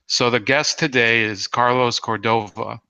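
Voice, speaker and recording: Guy hosting a podcast, kinda flat and even, lots of uhs and restarts, dry close sound. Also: mid-range voice, smooth, no echo, dull audio. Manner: halting, many fillers and restarts. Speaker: podcast host. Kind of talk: casual interview.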